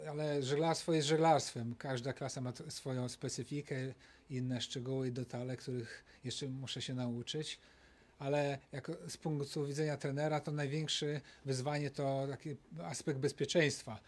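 A man in his sixties, a sailing coach, answering at a press conference in a measured, matter-of-fact way into a handheld mic. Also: mid-range voice, steady tone. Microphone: handheld microphone.

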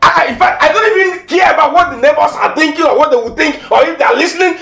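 List: man playing young boyfriend, broke, defensive, quick temper, gravelly voice, music underneath. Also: sits high, lively intonation. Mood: angry. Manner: pleading then shouting in bursts. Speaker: actor. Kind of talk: Nigerian film drama acting.

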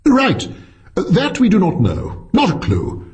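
A man's voice: high voice, huge pitch swings, unhurried, darkish tone, highs cut off, voice pushed up, exaggerated voice. A man voicing an intense, reedy philosophy professor who lectures with smug energy, in an audiobook story. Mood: earnest lecturing.

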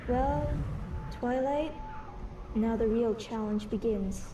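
Woman playing flustered young pony princess, shy, high voice, hesitant, roomy noisy recording. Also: slow pace, recorded from a distance. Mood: nervous. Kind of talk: fan fiction audio drama acting.

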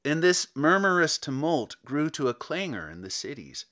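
A man reading literary fiction aloud as an audiobook narrator, with measured, solemn delivery and theatrical emphasis. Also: fairly high pitch, big pitch swings, fairly bright tone. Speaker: audiobook reader.

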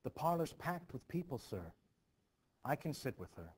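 A man reading the part of a preacher's composed young niece, giving measured advice in a stage play. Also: on the high side, big pitch swings, breathy edge, voice pushed up. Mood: concerned, composed.

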